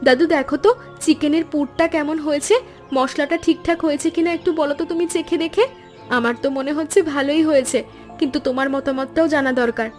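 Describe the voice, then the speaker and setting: A woman voicing an earnest, expressive young granddaughter who cooks at a food stall in an animated story. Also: high voice, fast, faint music behind, lightly acted. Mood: eager. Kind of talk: Bengali animated story dialogue.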